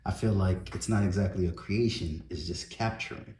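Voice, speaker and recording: A young adult man hosting a casual interview, relaxed and reflective, with many fillers. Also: low voice, moderate pace, roomy sound, slight background noise. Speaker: interview host, photographer.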